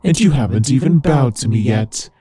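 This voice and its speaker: A man voicing a haughty, teasing demon lord in an ASMR roleplay, imperious and condescending, with a husky voice. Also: medium pitch, expressive, moderate pace, dark tone, dry recording. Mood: imperious, menacing. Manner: imperious, condescending, playfully taunting. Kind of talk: ASMR roleplay audio drama addressed to the listener.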